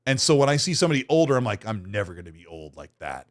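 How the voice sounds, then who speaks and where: A man in his late forties co-hosting a podcast, self-mocking and quick to laugh in casual banter. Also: fairly low pitch, big pitch swings. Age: 40s. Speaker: podcast co-host.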